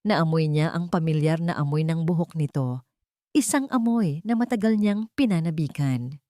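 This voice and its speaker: A woman's voice: sits low, moderate pace, mellow, dry recording, clean studio sound. A woman storyteller giving a dramatic scripted narration of a romantic tale.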